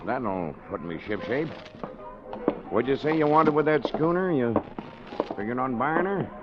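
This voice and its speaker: A man's voice: mid-range voice, expressive, measured pace, very noisy, close to an everyday voice. An actor as a swooping, salty old boatman. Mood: nosy, folksy. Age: older. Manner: folksy, salty nautical talk. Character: garrulous, nosy old seafaring waterfront boatman, expatriate American. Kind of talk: 1940s radio acting. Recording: dull vintage radio recording, music behind.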